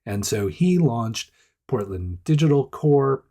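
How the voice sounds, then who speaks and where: A man hosting a casual startup news rundown, hoarse and stuffed up with a nasal voice, chatty and self-deprecating. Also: mid-range voice, animated, slow pace, warm tone.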